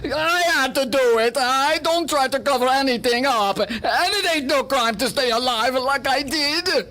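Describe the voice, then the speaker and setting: Radio play casting: woman as blubbering, panicky male suspect, high and slow, stammering, slight background noise. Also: a bit bright. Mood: desperate wailing. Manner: halting, stammering, whiny. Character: frightened, blubbering Greek restaurant man pleading self-defence. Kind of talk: radio drama acting.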